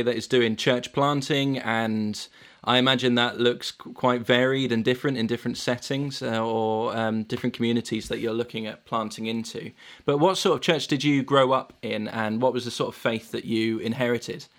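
A man hosting a podcast in a middle-pitched voice. He chats casually and asks long, meandering questions in a friendly way. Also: steady tone, moderate pace, a bit bright. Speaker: podcast host. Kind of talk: casual conversation.

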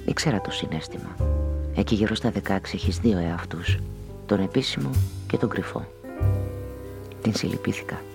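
An actor playing a weary, observant homicide detective who narrates his case in a measured, literary way in a radio play. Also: deep voice, gravelly. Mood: detached, reflective. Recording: music under the voice.

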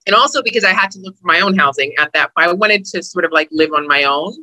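A woman's voice: lowish voice, lively intonation, fast talker, bright, forceful tone, no room sound, low-quality recording. A woman, a housing attorney talking as an interview guest, friendly and chatty. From Brooklyn, New York. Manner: friendly and chatty, with easy laughs. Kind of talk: interview conversation.

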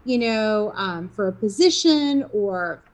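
A woman's voice: mid-range voice, lively intonation, takes it slowly, rough recording. Chatty woman in her fifties. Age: 50s. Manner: rambling, many fillers and restarts. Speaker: interview guest. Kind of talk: casual conversational interview.

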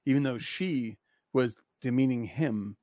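A man casually discussing a film with a friend, talking in a rambling, animated way. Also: medium pitch, a little slow, dry recording, clean studio sound.

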